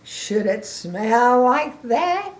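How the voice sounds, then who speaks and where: A woman's voice: on the high side, big pitch swings, pitched above the performer's own voice, full character voice. A woman voicing a wary, disgusted elephant from a storybook, drawing out exaggerated, disbelieving words. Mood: incredulous. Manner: slow, exaggerated disbelieving questions.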